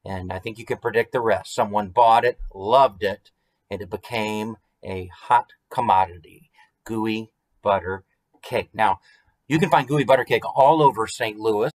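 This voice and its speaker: A man in his forties with an American accent, hosting a story time in an expressive, conversational way with chatty asides. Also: on the low side, a little slow. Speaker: author reading his picture book. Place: small room at home, indoors.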